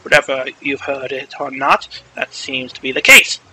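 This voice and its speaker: A man voicing a stern, smug bird-man who lectures deliberately and sarcastically in a video game story. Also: mid-range voice, sharp tone, breathy edge, pitched below the performer's own voice, rough recording. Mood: stern, sarcastic.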